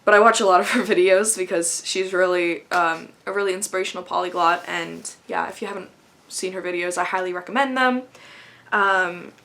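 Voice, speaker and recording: A woman's voice: lowish voice, fairly even intonation, brisk, a bit bright, slight background noise. A young woman chatting casually to camera, rambling with frequent laughs. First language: English. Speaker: vlogger.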